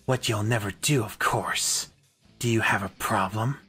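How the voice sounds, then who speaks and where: A man voicing a brooding, aloof hedgehog anti-hero in a cartoon comic dub, terse, cold and deadpan, with gravel in the voice. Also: lowish voice, a little slow, faint music behind, heavily acted. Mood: defiant. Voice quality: husky, gravelly. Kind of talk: fan comic dub acting.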